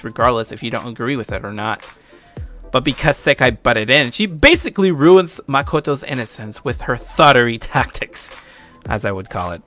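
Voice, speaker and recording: Bright-voiced young man, casual and slangy. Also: mid-range voice. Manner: chuckles, casual slangy swearing. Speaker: video creator giving anime commentary. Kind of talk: casual opinionated commentary.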